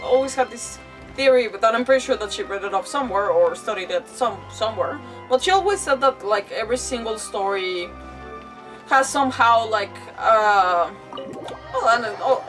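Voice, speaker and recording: A woman giving casual, rambling livestream commentary with animated asides as she plays a game. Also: mid-range voice, recorded from a distance, poor audio. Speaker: game streamer. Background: video game music.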